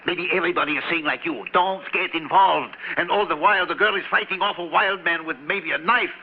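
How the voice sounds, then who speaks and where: An earnest, idealistic old shopkeeper, played by an actor in a 1950s radio play. His voice is high for a man and gravelly, and it rises and falls as he pleads. The sound is muffled, with a faint hiss. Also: brisk, close to an everyday voice. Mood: pleading. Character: idealistic older immigrant shopkeeper Otto. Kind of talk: 1950s radio drama acting.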